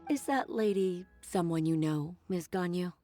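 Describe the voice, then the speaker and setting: A woman's voice: fairly low pitch, animated, a little slow, dark tone, soft background music. A woman voicing a courteous assistant in a video game who speaks politely and reassuringly.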